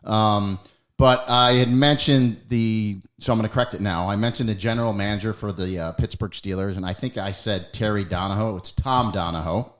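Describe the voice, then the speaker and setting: A man's voice: fairly low pitch, normal speed. A middle-aged American man hosting a podcast, talking casually and telling stories with lots of fillers and self-corrections. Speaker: podcast host. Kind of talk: casual podcast chat and storytelling.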